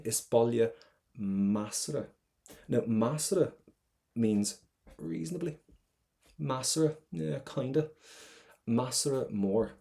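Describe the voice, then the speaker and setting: A middle-aged man with an Irish accent teaching an exam preparation lesson, energetic and encouraging, often checking in with "right?". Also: mid-range voice. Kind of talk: exam prep masterclass lesson.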